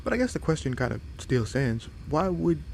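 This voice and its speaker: A man's voice: on the high side, very animated, creaky, darkish tone, slight background noise. A man playing a friendly, curious fox-boy monster in a roleplay audio drama, hesitant and apologetic as he addresses the listener. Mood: flustered. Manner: hesitant, halting, apologetic, wide-eyed.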